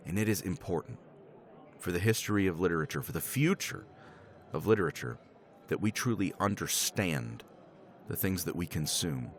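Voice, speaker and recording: A man's voice: rumbling low, a bit bright. A man playing a professor in a romance ASMR roleplay, teasing and self-deprecating, swearing casually and laughing often.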